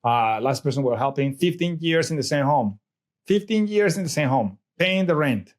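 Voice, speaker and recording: A man's voice: a little high, expressive, moderate pace. A middle-aged man from Colombia with a Colombian Spanish accent, a native Spanish speaker talking as an interview guest in a rambling way with many restarts and fillers. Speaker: guest, real estate investor. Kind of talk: casual interview chat.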